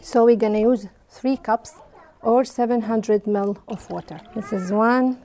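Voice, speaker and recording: A woman from Iraq leading a hands-on bread-making workshop, explaining steps in simple, halting English with fillers. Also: fairly high pitch, dark tone, a little hiss or hum. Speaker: baking workshop teacher. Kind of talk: hands-on cooking demonstration. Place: community hall kitchen.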